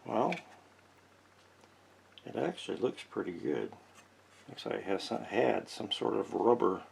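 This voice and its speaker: A man with a low voice and a gravelly creak talks through hands-on repair work in a casual, folksy way. He thinks aloud as he goes, unscripted, with faint noise behind him. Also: lively intonation, a little slow, a little mellow. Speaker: host of a vintage radio repair channel. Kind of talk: casual unscripted hands-on narration while working. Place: indoor workshop.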